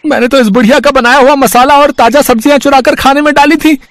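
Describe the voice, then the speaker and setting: A man acts out a sneaky thief of a stall owner in a cartoon tale. His voice is high, whining and flustered. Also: steady tone, fast, a bit bright, no room sound. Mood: whining, blaming.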